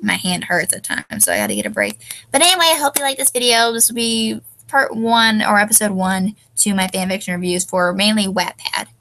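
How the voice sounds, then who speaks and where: A woman's voice: mid-range voice, big pitch swings, brisk, bright, forceful tone, rough recording. A young woman with a stuffy, tired voice, rambling casually as a fanfiction reviewer and often trailing off with ums. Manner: hesitant, many ums, loses her train of thought.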